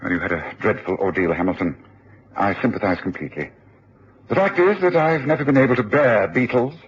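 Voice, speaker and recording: An actor in a radio drama playing a commanding, brisk Victorian gentleman detective, with a clipped, urgent delivery. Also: on the low side, animated, breathy edge. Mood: composed. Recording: muffled, very noisy old radio recording.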